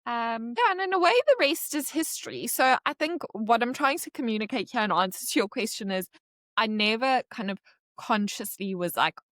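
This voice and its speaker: A woman's voice: a little high, animated, normal speed, fairly bright tone, dry recording, studio quality. A woman in her thirties with a South African accent, chatting casually as a podcast guest, rambling with lots of "like" fillers. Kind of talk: casual interview chat.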